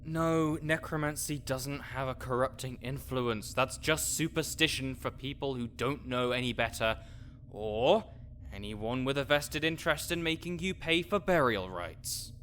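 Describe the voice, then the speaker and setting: A man's voice: mid-range voice, a little slow, a bit bright, slight background noise, close to an everyday voice. A man playing a grouchy, scholarly necromancer in an audio roleplay, condescending, pedantic and sarcastic. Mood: dry impatience. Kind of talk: audio roleplay acting addressed to the listener.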